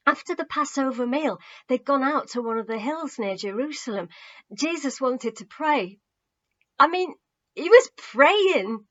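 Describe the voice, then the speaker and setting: A woman voicing a distraught young man, emotional, emphatic and halting, in a story reading with muffled sound. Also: high voice, expressive, a bit bright, voice pushed up, heavily acted. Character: distraught young male follower recounting a betrayal.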